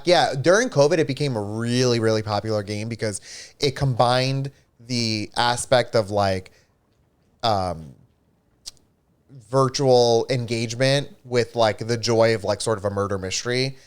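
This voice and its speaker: A man's voice: mid-range voice, moderate pace, smooth. A man co-hosting a livestream, chatting casually with lots of fillers and an upbeat manner. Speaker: livestream co-host.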